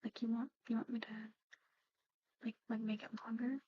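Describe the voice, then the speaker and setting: A woman doing an ASMR roleplay, speaking softly and often slipping into whispers, with a hesitant, halting delivery. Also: a little high, little pitch movement, muffled recording, a little hiss or hum. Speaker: ASMR performer.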